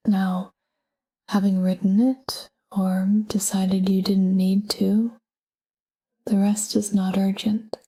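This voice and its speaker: A woman narrating a scripted sleep meditation in a calm, gentle, whispery voice with measured delivery. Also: medium pitch. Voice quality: breathy, whispery. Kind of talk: scripted guided sleep meditation, soft spoken.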